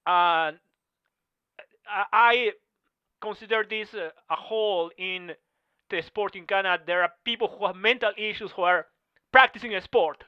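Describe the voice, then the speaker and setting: A middle-aged man whose first language is neither English nor French, giving halting testimony full of pauses and restarts over a video call. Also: high voice, clean tone, dry recording. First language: not English or French. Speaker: Masters track and field athlete. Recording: slightly muffled video call audio.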